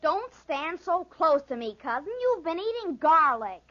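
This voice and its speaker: A woman's voice: extremely high pitch, big pitch swings, a little slow, soft background music, exaggerated voice. A woman playing a sly, teasing, insolent young boy in a 1940s radio drama. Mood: cheeky. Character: sly, taunting young boy bargaining with his cousin. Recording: muffled 1940s radio recording.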